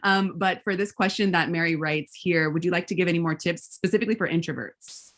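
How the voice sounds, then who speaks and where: A woman's voice: lowish voice, a little fast. A woman raised in the United States hosting a conversation, affirming her guest and talking loosely with many fillers. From raised between California and Georgia. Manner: warm affirmations, many fillers like you know. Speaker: host. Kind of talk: casual interview chat.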